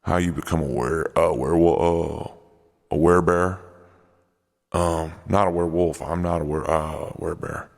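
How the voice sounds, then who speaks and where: A man voicing a gruff, defensive road comic and secret werebear, rambling and halting in an improvised comedy radio play. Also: deep voice, fairly even intonation. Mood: defensive, menacing. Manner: halting, rambling, irritable drawl with malapropisms. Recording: long reverberant echo.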